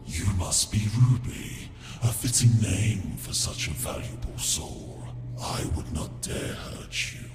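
A man voicing an ancient cursed entity in a video game, solemn and persuasive, with a grave, formal, breathy delivery. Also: fairly low pitch, animated, takes it slowly, few pauses, roomy sound, soft background music. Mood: solemn, reassuring.

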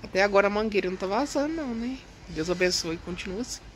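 A middle-aged Brazilian man with a high, creaky voice, chatting casually and expressively in a home vlog. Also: expressive, very fast, a little hiss or hum. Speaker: home vlogger.

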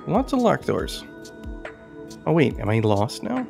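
A man's voice: mid-range voice, wildly swooping pitch, a little slow, creaky, over music. A man giving casual gameplay commentary, musing and thinking aloud as he goes. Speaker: game streamer reviewing indie games.

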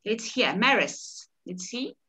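A woman's voice: fairly high pitch, big pitch swings, takes it slowly, pushed and bright. South African woman, chatty author. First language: Afrikaans. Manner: chatty, many restarts and repeated words. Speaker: author leading a writing workshop. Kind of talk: casual workshop talk on a video call. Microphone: video call webcam.

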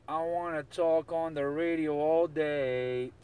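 A man's voice: fairly high pitch, steady tone, slow, mellow, clear, resonant tone. A man chatting casually in CB radio slang, often repeating his phrases. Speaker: CB radio operator. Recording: distant mic, noisy radio hiss.

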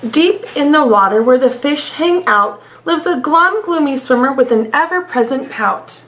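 A woman's voice: high-pitched, lively intonation, normal speed, a bit bright, slight background noise. A woman in her thirties, a teacher expressively reading a story aloud to young children in a room at home. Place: small room at home, indoors.

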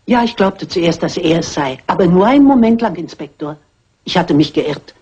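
An older woman playing a shrewd, determined elderly lady detective in a dubbed classic mystery film, brisk, polite and self-assured, with a husky voice. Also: on the low side, expressive, dark tone. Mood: confident, scheming. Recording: muffled old film soundtrack.

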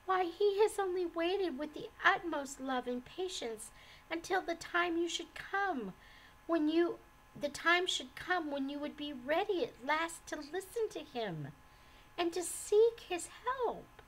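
A woman voicing a kind, loving female friend in a storybook, speaking in a gentle, earnest, reassuring way. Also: very high-pitched, animated, slow, voice pushed up, heavily acted, poor audio.

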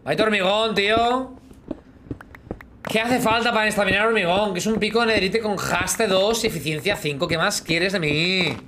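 A man's voice: high-pitched, moderate pace, noisy background. Joking Spanish man streaming a game. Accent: Spain Spanish. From Spain. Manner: joking, chats with viewers while playing, hums sound effects. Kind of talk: casual live gaming chat. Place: small room indoors. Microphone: headset.

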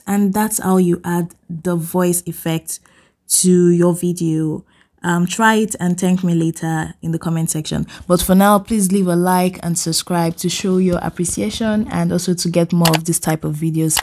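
Young woman doing a how-to video, lowish voice, explaining each step. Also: fairly even intonation, normal speed. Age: young adult. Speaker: tutorial host. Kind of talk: step-by-step video tutorial narration.

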